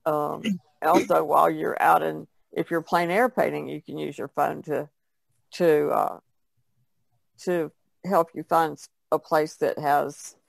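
Woman, podcast panelist, extremely creaky voice, dry sound, casual discussion. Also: low voice, fairly even intonation, measured pace, dry recording.

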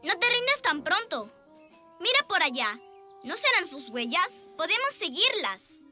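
A woman's voice: very high voice, very animated, unhurried, exaggerated voice. A woman voicing an eager, confident child who leads a rescue team in a children's cartoon dub, instructing with plenty of expression. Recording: loud music behind the voice.

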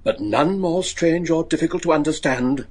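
An actor playing a learned, excitable professor of werewolf lore in a gothic horror radio drama, theatrical and scholarly. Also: male voice, fairly high pitch, moderate pace, slightly rough. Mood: scholarly, insistent. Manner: theatrical, scholarly, hesitant stammers.

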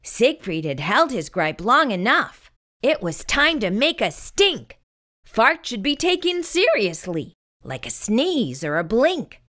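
A woman reading a children's picture book aloud in expressive, scripted narration. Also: on the high side, slow.

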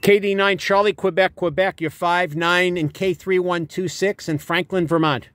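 A man's voice: high-pitched, fairly even intonation, normal speed, a bit bright, dry recording. An older man working as an amateur radio operator, calling out in clipped, procedural phonetic alphabet from inside a parked vehicle.

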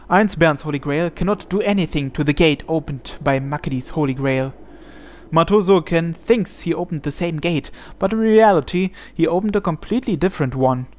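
A man reading a visual novel aloud as dramatic scripted narration. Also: fairly high pitch. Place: indoors, voice-over on game playthrough. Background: game music.

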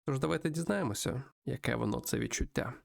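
A man reading a visual novel aloud as a let's play host, in an expressive storytelling manner. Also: mid-range voice, fast talker, gravelly. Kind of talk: narrated visual novel playthrough reading with asides.

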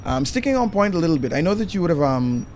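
A young man interviewing a guest, asking questions and encouraging her with steady backchannels. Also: fairly high pitch, quick pace, background noise. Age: young adult. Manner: encouraging, with many "mm-hmm" backchannels. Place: outdoor terrace by a balustrade. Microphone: handheld mic.

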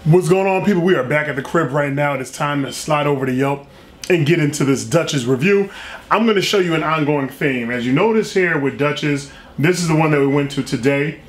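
Lively slangy man reviewing food. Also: fairly high pitch, a little fast, roomy sound. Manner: lively, slangy, reads his written review aloud with flourish. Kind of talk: casual food review vlog. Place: small restaurant dining room, indoors.

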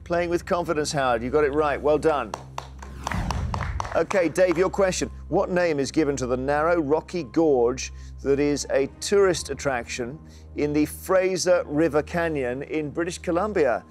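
Male game show host, slightly high voice, crisp studio delivery, faint music under. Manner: brisk, crisp announcing, reading questions aloud. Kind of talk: scripted game show presenting. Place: large TV studio indoors. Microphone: lapel mic.

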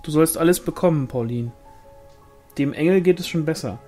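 A man's voice: fairly high pitch, normal speed, dark tone, faint music behind, pitched above the performer's own voice, close to an everyday voice. Man playing a brusque young widow, slightly raised voice. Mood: guarded. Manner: brusque, guarded. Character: gruff, defensive young village widow.

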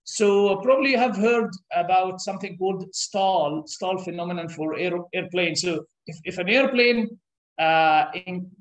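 Egyptian-born professor, 30s, second-language English, slightly high voice, thoughtful, halting; room echo. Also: male voice. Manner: thoughtful, with frequent "uh" pauses and restarts. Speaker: interview guest, professor. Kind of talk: explanatory interview answers. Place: conference room. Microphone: camera across a table. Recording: echoey meeting room.

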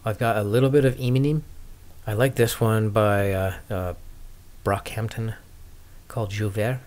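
A man's voice: on the low side, fairly even intonation, moderate pace, darkish tone. A man hosting a skating podcast, chatting casually and ramblingly with dry, wry humour as he answers viewer questions.

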